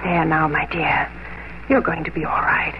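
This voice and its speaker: An actress playing a gentle, sensible English gentlewoman, calm and wistful, in a 1950s radio drama. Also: sits low, normal speed, close to an everyday voice. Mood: calm, firm. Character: gentle, sensible, composed English gentlewoman past her youth. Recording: muffled, noisy old radio broadcast.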